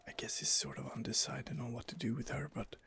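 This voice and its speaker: Man, whispered ASMR let's play, close mic, gravelly texture, hesitant fillers, mid-range pitch. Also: fairly even intonation, a little fast, bright, forceful tone. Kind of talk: whispered ASMR gameplay commentary. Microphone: close home microphone.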